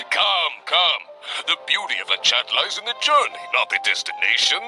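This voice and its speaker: Jovial, rambling veteran adventurer for a game; raised pitch, very animated, grandiose. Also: male voice, measured pace, low-quality recording. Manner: theatrical, long-winded, jovial. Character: hearty middle-aged male adventurer guild leader, grandiose rambler. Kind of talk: video game cutscene dialogue. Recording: music behind the voice.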